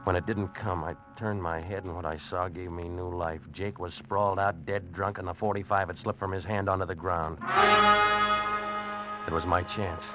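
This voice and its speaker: A man's voice: low-pitched, steady tone, moderate pace, music in the background, lightly acted. An actor playing a desperate American man on the run, telling and acting out his ordeal intensely in an old radio drama. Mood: grim narrating. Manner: intense, dramatic, agitated pleading. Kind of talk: 1940s-50s radio drama narration and acting. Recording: muffled old radio recording.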